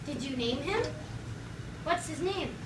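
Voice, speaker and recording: High voiced lady doing kids story time, asks them to join in, far from mic in a really noisy echoey room. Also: animated, measured pace. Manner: encouraging, prompting, acting out sound effects. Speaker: children's story-time teacher. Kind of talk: read-aloud picture book to young children, interactive with questions. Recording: roomy, distant mic, loud background noise.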